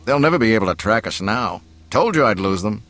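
A man's voice: lowish voice, animated, a little fast, a bit bright. Man playing a cocky, brash smuggler pilot.

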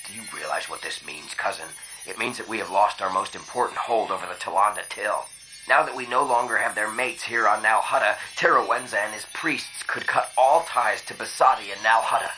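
A man voicing a stern, forceful alien crime lord. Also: fairly low pitch, a little hiss or hum, poor audio. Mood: stern, commanding. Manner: authoritative, deliberate, pressing. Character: commanding, stern male Hutt clan leader, an alien crime lord. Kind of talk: dramatized audiobook narration.

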